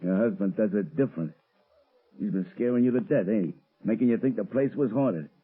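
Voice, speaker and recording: A man's voice: on the low side, fairly quick, slightly rough. An actor playing a menacing, mocking crook with sneering, slangy tough-guy talk in a 1930s radio crime drama. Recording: muffled, tinny old radio recording.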